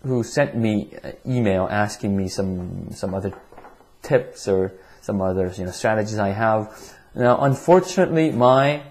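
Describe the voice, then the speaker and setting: A man's voice: fairly low pitch, measured pace, darkish tone, soft background music. A man whose first language is Korean, giving an online test-prep lecture in English with many restarts and repeated words.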